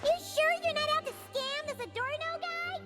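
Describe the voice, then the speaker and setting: A woman voicing a tiny, excitable, childlike fairy girl companion with a squeaky, blunt way of talking in a video game. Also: normal speed, soft background music. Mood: skeptical, indignant. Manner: speaks of herself in third person, blunt.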